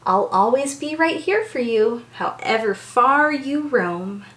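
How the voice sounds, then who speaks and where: An American woman reads to young children in a high, very animated voice. She takes her time and sounds bubbly and enthusiastic, like a teacher hosting storytime. The room adds a clear echo. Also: slow. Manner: bubbly, enthusiastic, exaggerated child-directed delivery.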